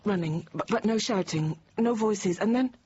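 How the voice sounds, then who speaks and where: An actress playing a thirty-year-old Parisian teacher, recalling an ordeal in measured, halting, controlled radio drama narration. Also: female voice, mid-range voice, close to an everyday voice. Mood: tense recollection. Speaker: lead actor and narrator. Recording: dull, noisy radio drama recording.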